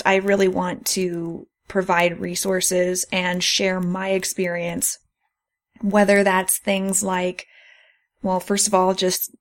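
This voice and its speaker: A woman hosting a podcast in an earnest, encouraging, conversational way. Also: medium pitch. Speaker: podcast host.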